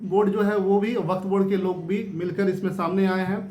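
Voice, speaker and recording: Guy w high voice doing casual news commentary, explainy, repeats himself, echoey room. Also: steady tone, a little fast, a little mellow. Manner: explanatory, repetitive, checks in with rhetorical tags. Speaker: host of a news discussion channel. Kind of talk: informal news commentary, unscripted. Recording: roomy, echoing room sound.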